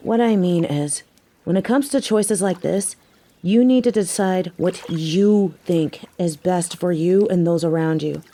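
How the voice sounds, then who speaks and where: A woman's voice: on the low side, a little slow, warm tone. A woman acting in an audio drama as a biker girl, a devoted human mate with a teasing, sarcastic way of talking. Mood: earnest, tender. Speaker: voice actor in an audio roleplay.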